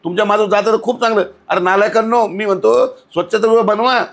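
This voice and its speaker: A man's voice: high-pitched, fairly even intonation, a little fast. A man speaks as an outspoken guest in a podcast interview. He is blunt and indignant, scolding and throwing out rhetorical questions as he goes. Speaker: outspoken podcast guest commenting on local civic issues.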